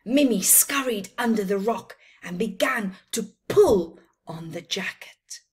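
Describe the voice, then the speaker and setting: A woman's voice: mid-range voice, slightly rough. A middle-aged woman reading a picture book to children, expressively and with dramatic emphasis. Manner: expressive, slow deliberate storytelling with dramatic emphasis. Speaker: children's storytime reader. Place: small plain room indoors.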